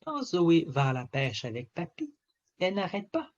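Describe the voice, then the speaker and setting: A man reading a children's story aloud in an expressive, theatrical way with emphatic phrasing. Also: fairly high pitch, moderate pace, lo-fi sound. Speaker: storybook reader.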